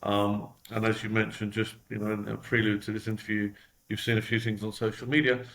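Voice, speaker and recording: A man's voice: lowish voice, little pitch movement, brisk, fairly bright tone. A man with a London accent, a company CEO answering interview questions in a candid, reflective way with frequent fillers. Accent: London English. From London, England. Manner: reflective, with frequent "um" and "you know".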